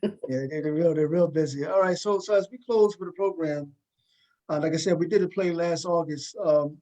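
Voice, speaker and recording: A man with a fairly high-pitched voice works as a talk show host. He interviews guests casually. He tends to double up short phrases as he acknowledges answers. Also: normal speed, dark tone. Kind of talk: casual interview with cast members.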